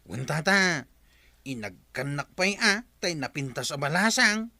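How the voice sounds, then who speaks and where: Man playing a very sharp-voiced, boastful drinker. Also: fairly high pitch, expressive, measured pace, creaky, no room sound, full character voice. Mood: boastful, dismissive. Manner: leering, exclamatory. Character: boastful, carefree drinker and womanizer. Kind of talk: Ilocano radio-style audio drama acting.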